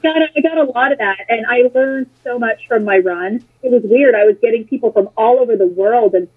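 Husky, high-voiced blunt American woman. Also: brisk. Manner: blunt, sarcastic, swears casually. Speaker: interview guest, former political candidate. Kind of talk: casual conversation, opinionated anecdotes. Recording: muffled lo-fi phone-like sound.

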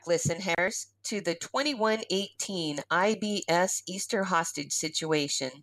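A woman playing a polite, anxious rabbit radio announcer in an audio drama, speaking with measured understatement. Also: fairly low pitch, fairly bright tone, no echo, rough recording.

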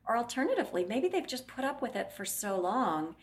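A woman's voice: fairly high pitch, big pitch swings, a little fast. A woman voice clinician answering interview questions bluntly, explaining at length and acting out what her patients say.

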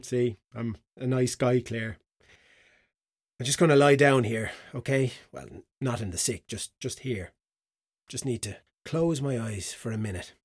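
A man's voice: mid-range voice, dry recording. Radio drama: drunk, hungover young Irish guy, slangy and rambling, dry studio sound. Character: drunk, hungover young man after a one-night stand. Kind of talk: naturalistic audio drama acting.

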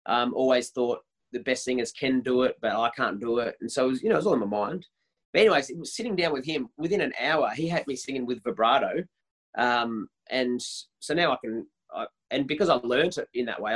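A man in his thirties, a worship leader, talks about his work in a casual interview. His voice sits on the higher side, and he speaks with eager energy, tripping over and restarting his sentences. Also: a bit bright, slightly rough, poor audio. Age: 30s. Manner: enthusiastic, many restarts and repeated words.

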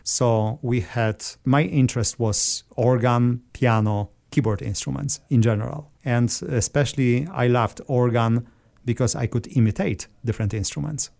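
A man from Poland with a Polish accent, a guest organist calmly explaining things in a radio interview. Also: mid-range voice, vocal fry.

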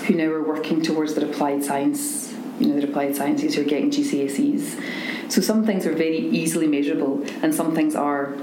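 A woman's voice: sits low, steady tone, brisk. A woman with a Scottish accent, a charity founder speaking earnestly and passionately about her work in an interview. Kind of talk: recorded interview. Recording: echoey room with background noise.

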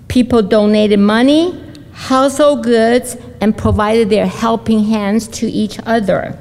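Woman at an interfaith service, measured reading with small restarts, creaky voice, ringing hall. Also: fairly high pitch, a little mellow. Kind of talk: reading prepared remarks. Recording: long hall reverb.

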